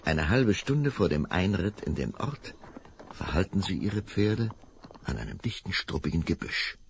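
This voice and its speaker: Tiefer, rauer älterer Erzähler. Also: male voice, sits low, gravelly, slight background noise. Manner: calm, measured storytelling. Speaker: narrator. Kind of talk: scripted storybook narration.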